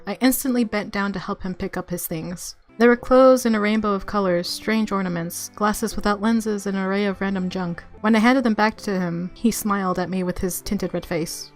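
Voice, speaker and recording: Female let's-play narrator, mid-range voice, expressive visual novel reading with chatty asides over background music. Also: medium pitch, moderate pace, hardly any pauses. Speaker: game streamer reading a visual novel aloud.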